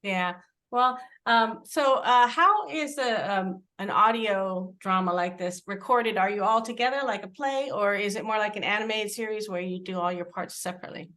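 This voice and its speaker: A woman's voice: medium pitch, normal speed, a bit bright. A woman interviewer asking casual questions, phrasing them informally and a little hesitantly.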